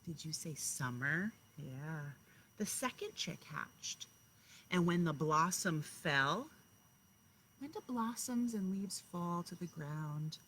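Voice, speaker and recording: A woman kindergarten teacher talking and reading aloud to young children, speaking to them directly and pausing to explain and ask questions. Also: lowish voice, animated, fairly bright tone, a little hiss or hum, poor audio.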